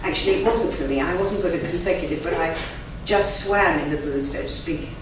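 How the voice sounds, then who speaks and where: A woman whose first language is German, a conference interpreter giving an emphatic, chatty lecture to a live audience with lively asides. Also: lowish voice, fairly quick. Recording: very echoey hall, from far off, faint noise.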